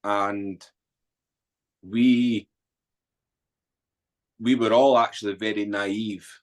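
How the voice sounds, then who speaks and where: A man's voice: fairly low pitch, steady tone, very slow. A man in his forties from Edinburgh with a Scottish accent, a podcast guest telling his life story in a blunt, earthy way with frequent ums. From Scotland. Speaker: guest, author and former soldier. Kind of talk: candid storytelling interview.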